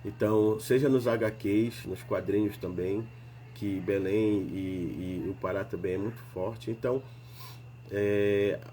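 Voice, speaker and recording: A man's voice: on the low side, fairly even intonation, a little slow, warm tone. A man, congested from a cold, hosting a live stream in a casual, rambling way with many fillers.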